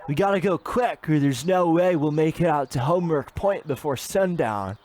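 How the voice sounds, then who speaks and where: A man playing an awkward, folksy, cocky teenage boy in an old-time radio comedy, with halting gee-whiz delivery. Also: fairly high pitch, expressive, darkish tone, lightly acted. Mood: breezy.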